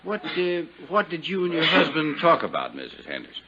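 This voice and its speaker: An American man acting as a formal, probing small-town inquest official in a 1950s radio drama, measured and official. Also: on the high side, huge pitch swings. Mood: formal questioning. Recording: dull, noisy 1950s radio recording.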